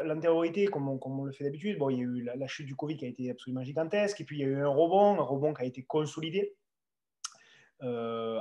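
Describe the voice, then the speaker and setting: Male podcast host, Southern French accent, fairly high pitch, casual market commentary, hesitant, word repetitions. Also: a little mellow. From south of France. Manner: hesitant, repeats words for emphasis.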